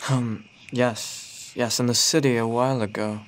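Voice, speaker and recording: A man's voice: mid-range voice, steady tone, unhurried, a little hiss or hum, close to an everyday voice. A man playing a weary traveler in a radio drama, speaking in a casual, everyday way. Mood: apologetic, polite. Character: weary, polite male traveler.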